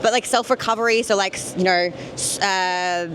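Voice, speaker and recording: A woman's voice: mid-range voice, steady tone, normal speed, a bit bright, a little hiss or hum, low-quality recording. A woman guest talking casually about training during a gym vlog. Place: indoor gym.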